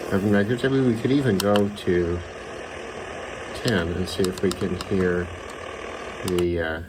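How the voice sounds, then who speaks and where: A man giving a casual hands-on demonstration, unhurried and thinking aloud as he goes. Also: low-pitched, fairly even intonation, background noise. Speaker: radio hobbyist presenter. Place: indoors by a window.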